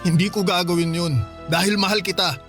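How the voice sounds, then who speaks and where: Radio drama: worried loving husband, slightly high voice, pleading, soft music underneath. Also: male voice, steady tone, a little fast. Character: devoted, worried young husband, gently persistent.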